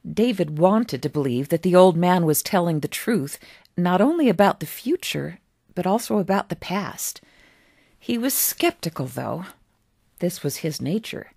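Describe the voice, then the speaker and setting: A woman reads a scripted storybook tale in a fairly low voice. She takes her time, setting out each phrase deliberately and pausing between them. The sound is close and dry. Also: sing-song, gravelly, darkish tone, breathy edge, no echo. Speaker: author reading own story.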